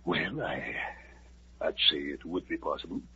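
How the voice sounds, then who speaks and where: An actor playing a cautious army colonel, formal and measured and sometimes hesitant, in a 1950s radio drama. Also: male voice, a bass voice, sharp tone, breathy edge, heavily acted. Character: cautious middle-aged male army colonel. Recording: muffled old radio recording with faint hiss.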